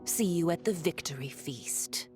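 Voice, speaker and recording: A sly, elegant villainess in a game cutscene. Her voice is very low for a woman, poised and condescending, with a sardonic edge. Music plays underneath. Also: lively intonation, unhurried. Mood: taunting, condescending. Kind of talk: video game cutscene acting.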